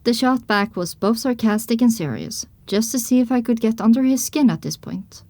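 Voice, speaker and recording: A storybook-style first-person narrator played by a woman, a pony mare telling her adventure. Her voice sits a little high and is recorded close and dry, with measured, descriptive delivery. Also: animated, warm tone, dry recording. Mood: narrating. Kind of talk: scripted audiobook narration.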